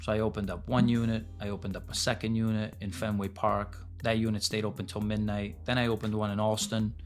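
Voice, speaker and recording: Man, 40s, podcast guest; rapid, low-pitched, candid and profane storyteller. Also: level tone, faint music behind. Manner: blunt, casual, swears freely. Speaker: podcast guest, pasta business owner.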